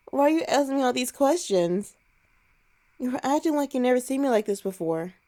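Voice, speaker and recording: A woman's voice: high-pitched, expressive, moderate pace, warm tone. A woman playing a teasing alpha she-wolf who is scared of heights and easily flustered, in a romantic ASMR roleplay. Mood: playful, teasing.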